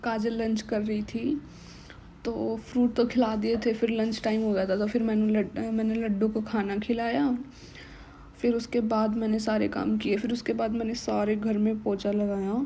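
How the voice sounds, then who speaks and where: Young woman, sore throat, chatty home vlog narration, flat higher voice, faint hiss. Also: moderate pace. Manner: chatty, mixes English words into Hindi. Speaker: vlogger.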